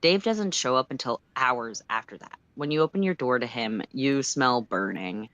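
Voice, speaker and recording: A storyteller reading second-person fiction aloud on a livestream in an expressive narrating style. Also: medium pitch, normal speed, no room sound, highs cut off.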